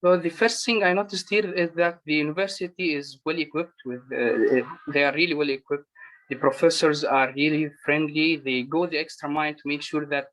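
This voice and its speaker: A man from Lebanon, a first-year medical student guest, talking hesitantly with many pauses over a video call. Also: on the high side, steady tone, a bit bright, poor audio. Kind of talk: casual chat.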